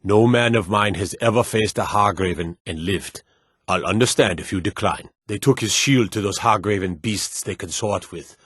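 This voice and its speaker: An actor playing a stern, dutiful fantasy lord and soldier in a video game, speaking in a formal, measured, authoritative way. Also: male voice, lowish voice, fairly bright tone, slightly rough, no room sound, studio quality. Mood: grave, stern. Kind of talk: video game character dialogue.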